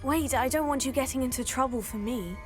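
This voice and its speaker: A woman's voice: sits high, brisk, faint music behind, natural voice. An actress playing a plucky, polite teenage schoolgirl witch in a video game, earnest and composed. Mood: determined, concerned. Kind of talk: video game cutscene acting.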